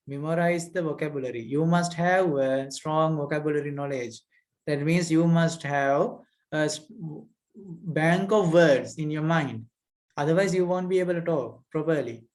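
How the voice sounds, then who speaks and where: A man's voice: fairly high pitch, darkish tone. Sri Lankan man lecturing, keeps checking "right?". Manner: asks rhetorical questions and adds "right?" checks; repeats key points, restarts sentences. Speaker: English teacher. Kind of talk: spoken lesson, lecturing students.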